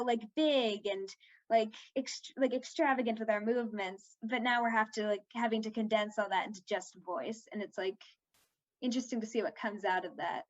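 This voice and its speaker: High-pitched young woman chatting casually. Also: highs cut off. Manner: informal, heavy use of "like". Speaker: interviewed actor. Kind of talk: casual interview chat.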